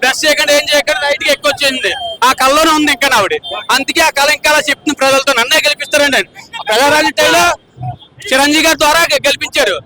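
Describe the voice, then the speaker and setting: A man's voice: very high voice, steady tone, rapid-fire. A man with a coastal Andhra Telugu accent speaking emphatically and repetitively in an impromptu street interview. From Chebrolu, Andhra Pradesh, India. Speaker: party supporter giving street interview. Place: outdoors on a busy street. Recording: noisy outdoor street with crowd.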